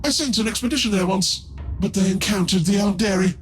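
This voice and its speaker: An actor playing a scheming heretical tech-priest scholar in a video game, speaking in a formal, theatrical, drawn-out way. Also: male voice, high-pitched, soft background music, lightly acted. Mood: pleading, evasive.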